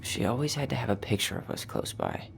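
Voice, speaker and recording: An actor playing a blunt, wounded young American man in a video game, with a low-key sardonic way of talking. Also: expressive, fast, gravelly. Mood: subdued, sad. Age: young adult. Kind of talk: naturalistic dramatic game acting.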